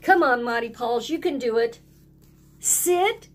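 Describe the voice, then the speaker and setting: A woman voicing an encouraging young boy who coaxes his puppy in a children's storybook, with bright exclamations. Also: fairly high pitch, expressive, slow pace. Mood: cheering.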